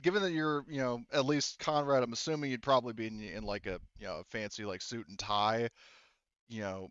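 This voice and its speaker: A man's voice: medium pitch, steady tone, brisk, a bit bright, clear, resonant tone, dry recording, dull audio. A man casually narrating a tabletop game as game master, rambling and joking as he goes.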